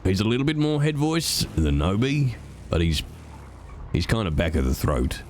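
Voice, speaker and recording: A man's voice: fairly low pitch, sing-song, normal speed, darkish tone, slight background noise. A man streaming a roleplay game, chatting to viewers with a stuffy nose from a cold, rambling and swearing freely. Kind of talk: casual chat to viewers while playing.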